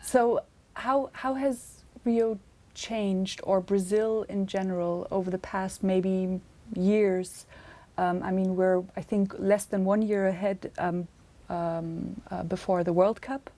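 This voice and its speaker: A woman hosting an interview show, speaking slowly and deliberately with many "um"s and giving frequent "mm-hmm"s while her guest talks. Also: medium pitch, fairly even intonation, warm tone. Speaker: host.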